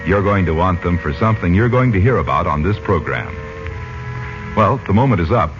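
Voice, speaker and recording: A man's voice: low-pitched, lively intonation, brisk. A man announcing and narrating a 1940s radio serial from a script in a formal, theatrical, emphatic style. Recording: muffled vintage 1940s radio recording. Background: music.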